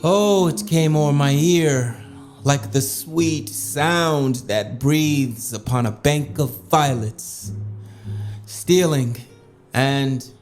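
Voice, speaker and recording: Slow, languid lovesick duke. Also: male voice, fairly high pitch, a little mellow, faint music behind. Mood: languid, yearning. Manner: languid, declamatory, dramatic. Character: lovesick, grandiose, self-indulgent male duke. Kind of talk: classical Shakespearean audio drama acting.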